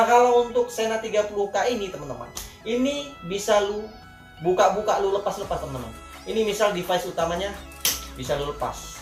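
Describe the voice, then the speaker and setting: A man with a Jakarta Indonesian accent giving a casual product review in informal, colloquial talk, often addressing his viewers directly. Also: high voice. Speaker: vlogger reviewing gear. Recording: echoey room with faint music.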